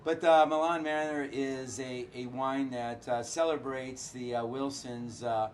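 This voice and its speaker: A hoarse middle-aged man, a winemaker, talking casually and haltingly with many filler uhs. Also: medium pitch, fairly even intonation, a crowd in the background. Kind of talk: casual chat.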